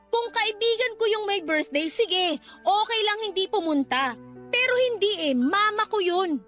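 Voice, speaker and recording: A woman's voice: very high-pitched, fairly bright tone, heavily acted. A woman acting as an indignant, hurt young girlfriend who argues back sarcastically in a radio drama. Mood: exasperated. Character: exasperated, hurt young girlfriend standing her ground.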